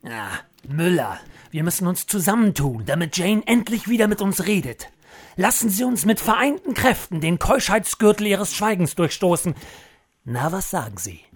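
An actor playing a scheming, theatrically menacing office worker, pompous and glib, in a comedic audio drama. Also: male voice, sits high, sing-song, normal speed, husky, exaggerated voice. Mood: scheming, persuasive. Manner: pompous, glib, mock-threatening.

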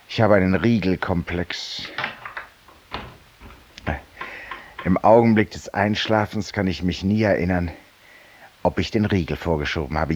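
An actor playing a feverish, weary, self-styled judge-penitent in a 1950s radio drama, confiding ironically and theatrically in a silent listener. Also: male voice, low voice, breathy edge, dull audio. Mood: uneasy, confiding. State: feverish and tired, with coughs.

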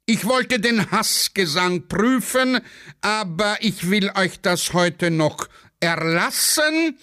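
A man acts out a pompous Viennese schoolteacher in a satirical radio play. The voice is raised high and moves slowly, swinging widely as he scolds and lectures. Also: bright, forceful tone, full character voice.

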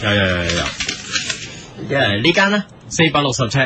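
A man's voice: medium pitch, very animated, fairly quick, pitched below the performer's own voice, close to an everyday voice. Man doing an eager property agent, noisy recording. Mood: eager, awkward. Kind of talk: comic radio sketch.

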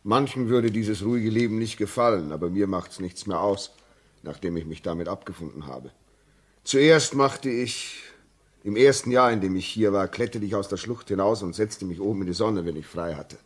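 An actor playing a brooding, dutiful railway signalman in a 1950s German radio drama, speaking gravely and haltingly. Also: fairly low pitch. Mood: reflective, resigned. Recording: muffled-edged 1950s radio drama recording.

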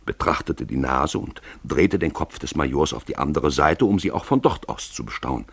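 A man's voice: very deep voice, animated, moderate pace, vocal fry, breathy edge, no echo. A man giving theatrical, wry literary narration as the storyteller of a radio play.

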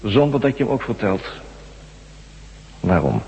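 An actor playing a menacing blackmailer in a radio drama, giving curt, commanding threats. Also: male voice, fairly low pitch, sing-song, unhurried, creaky, exaggerated voice. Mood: cold, threatening. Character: menacing male blackmailer issuing cold ultimatums. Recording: dull, long reverb, faint hum.

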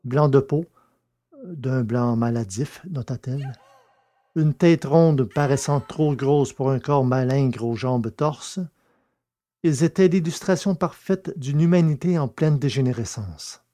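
Male audiobook narrator, deliberate and measured, warm dark tone. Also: medium pitch. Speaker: narrator and producer.

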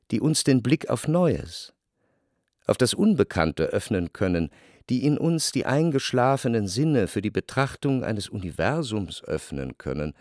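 An actor playing a reflective, well-off man of letters, narrating a literary radio play in a measured, analytical way. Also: fairly low pitch, a little mellow, no echo, clean studio sound. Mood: contemplative. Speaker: first-person narrator.

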